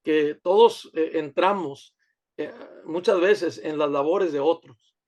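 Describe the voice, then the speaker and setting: A fairly high-voiced man from the United States, a pastor answering interview questions. He talks in an earnest, preaching way, stopping and restarting his sentences. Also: slow, darkish tone. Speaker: pastor, guest. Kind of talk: conversational interview.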